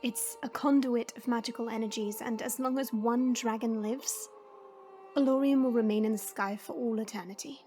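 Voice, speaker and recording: A woman plays a noble dragon lady with a high voice. She is composed and knowing, explaining formally or gently scolding, in a fantasy audio drama with faint music behind. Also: fairly even intonation, moderate pace, close to an everyday voice.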